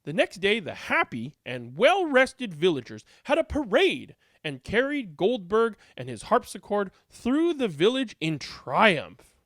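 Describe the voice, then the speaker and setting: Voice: medium pitch, huge pitch swings, unhurried, no echo, clean studio sound. A reader giving a theatrical, emphatic read-aloud of a storybook. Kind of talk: animated read-aloud narration.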